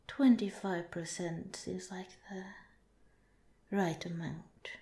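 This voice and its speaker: A woman voicing a calm, curious alien researcher in a softly spoken, part-whispered ASMR roleplay, with formal, measured, clinical phrasing, in an echoing recording. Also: on the low side. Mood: detached, observing. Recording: roomy, echoing voice.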